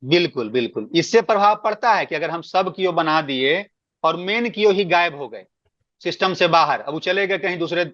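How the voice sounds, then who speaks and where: A man's voice: on the high side, lively intonation, normal speed, fairly bright tone, dry recording. A man explaining procedures in a work meeting, stressing points by repeating words. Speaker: meeting speaker giving guidance. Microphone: online conference call. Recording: dull, lo-fi conference call.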